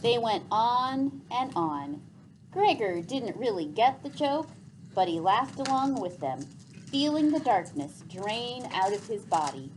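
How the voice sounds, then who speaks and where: Female storyteller, high voice, very lively intonation, expressive read-aloud narration over some background noise. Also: low-quality recording. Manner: animated, deliberate read-aloud delivery. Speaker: storyteller reading a novel aloud.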